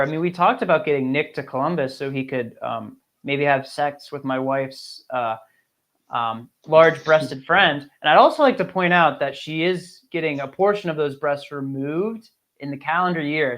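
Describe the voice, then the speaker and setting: A man's voice: a little high, a bit bright. A man co-hosting a casual fantasy sports show, joking and teasing in crude, easygoing banter. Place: remote call on a live stream.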